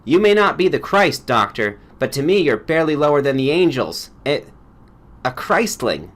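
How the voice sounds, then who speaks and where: A man performs an older, hard-bitten lawyer for a storybook reading. He declaims with emphatic admiration in a raised pitch. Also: big pitch swings, normal speed, a bit bright. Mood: fervent. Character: aging, hard-bitten, adoring male tax lawyer.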